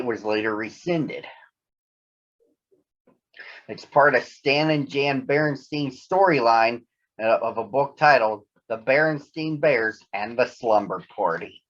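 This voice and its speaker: A man reads a picture book aloud for children in a husky voice. His delivery is expressive, with theatrical pauses. The recording sounds a bit dull and lo-fi. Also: mid-range voice. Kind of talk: read-aloud children's story narration.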